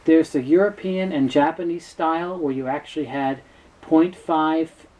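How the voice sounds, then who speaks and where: A man in his fifties giving an unscripted explainer in a halting, matter-of-fact way. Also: fairly high pitch, darkish tone. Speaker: presenter, tape specialist. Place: cluttered indoor workroom with shelves. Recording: clear background noise, room ambience.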